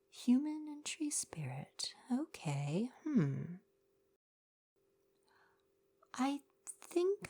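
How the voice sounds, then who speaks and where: A woman playing a kind, polite young merfolk shopkeeper with gentle sarcasm, softly spoken in an ASMR roleplay. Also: mid-range voice. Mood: friendly, curious. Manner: polite, chatty, helpful customer-service phrasing. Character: kind young merfolk gift-shop keeper, gently sardonic.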